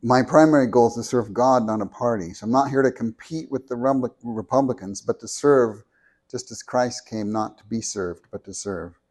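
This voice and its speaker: Soft-spoken man reading a prepared talk. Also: mid-range voice. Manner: measured, deliberate, with small restarts. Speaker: speaker at a meeting. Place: meeting room with an audience. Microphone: handheld microphone.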